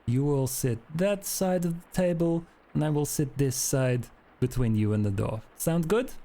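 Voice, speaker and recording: A man voicing a sardonic, smug vampire interrogator in a tabletop game, with courteous, deliberate phrasing. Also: fairly high pitch, dark tone, close to an everyday voice. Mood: coolly menacing. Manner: deliberate, polite threats.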